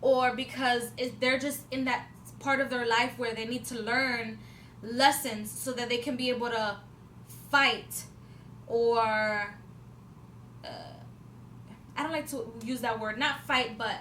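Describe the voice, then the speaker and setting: A woman's voice: a little high, fairly even intonation, slow pace, pauses often, pushed and bright. A woman in her twenties vlogging, talking at length in a rambling, casual way, with many fillers and restarts and an occasional swear for emphasis. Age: 20s. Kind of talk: casual spiritual talk to camera. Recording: echoey room with faint background noise.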